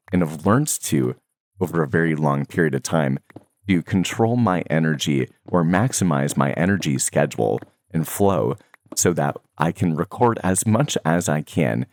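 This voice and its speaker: Man, very deep voice, young adult, casual vlog chat, rambling, lots of fillers. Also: no echo, studio quality. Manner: relaxed, rambling, many fillers and soft laughs. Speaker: Minecraft content creator. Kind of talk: casual talk to viewers.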